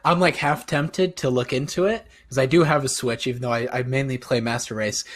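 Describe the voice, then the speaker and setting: Brief: twenties man, podcast co-host, upbeat laughing chatter, mid pitch. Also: fairly even intonation, brisk. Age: 20s. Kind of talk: casual chat.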